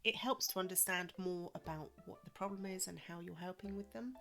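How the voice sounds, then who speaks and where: Woman podcasting solo, bit wheezy from a cold, lowish voice, lots of ums, faint music behind. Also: brisk, vocal fry, sharp tone. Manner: chatty, frequent ums, reassuring advice. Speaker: podcast host.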